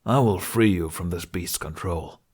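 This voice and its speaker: Man playing pompous, scornful Viking villain, low-voiced. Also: a little slow, a little mellow, breathy edge. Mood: menacing, smug. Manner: scoffing, pompous, threatening. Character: scornful, self-righteous male Viking villain with an axe. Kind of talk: audiobook character acting.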